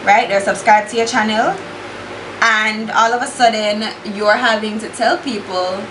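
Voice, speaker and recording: A woman's voice: mid-range voice, measured pace. A young Jamaican woman with a Jamaican accent, chatting bluntly while doing her makeup, swearing freely and slipping into patois. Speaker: beauty YouTuber. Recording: echoey room with steady background hiss.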